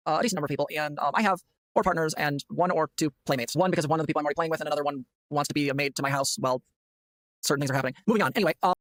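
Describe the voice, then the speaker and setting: Fast-talking man with a slightly high voice. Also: a bit bright, no echo. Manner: rambling, hedging, many "uh"s. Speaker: YouTuber in a played clip. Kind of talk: vlog explanation.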